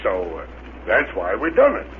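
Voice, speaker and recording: A man's voice: sits low, wildly swooping pitch, moderate pace. Casting: folksy, bluffing rocket crewman, flustered and stammering, 1930s space serial, thin noisy recording. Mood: sheepish bluffing. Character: bumbling, bluffing, good-natured adult rocket crewman. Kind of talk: 1930s radio adventure serial acting. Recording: noisy, narrow-band 1930s radio recording.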